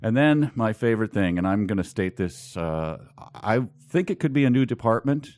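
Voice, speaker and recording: Man, lowish pitch, dry close recording, podcast co-host, casual book chat, deadpan mock-serious humor. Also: moderate pace, dry recording. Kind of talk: casual book discussion with read-aloud passages.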